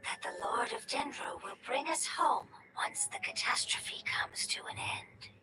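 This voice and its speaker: Whispery, high, otherworldly sorrowful creature. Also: female voice, animated. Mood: gentle, earnest. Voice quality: breathy, whispery. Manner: slow, solemn, formal phrasing. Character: gentle, sorrowful otherworldly creature longing for its lost homeland. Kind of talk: scripted game dialogue acting. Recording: whispery voice, distant, under loud music.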